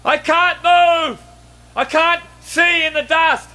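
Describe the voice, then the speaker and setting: Radio drama: older man in distress, forceful, slow-paced and straining. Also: very high-pitched, steady tone, heavily acted. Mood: desperate, shouting. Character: suspicious, desperate older man from a squat, in distress.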